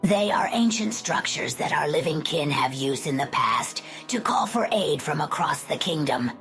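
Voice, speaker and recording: A calculating undead spider sorceress, extremely low and gravelly, with swooping pitch and a whispery hiss. She speaks in formal, measured game-cutscene lines over music. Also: lively intonation, full character voice. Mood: sly, explaining. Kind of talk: fantasy game cutscene dialogue. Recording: loud music behind the voice.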